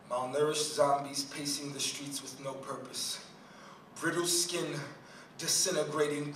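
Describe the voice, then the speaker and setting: Male spoken word poet, high bright voice, dramatic delivery, distant live-room recording. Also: echoey room, slight background noise. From Haiti. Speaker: slam poet. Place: live school performance space.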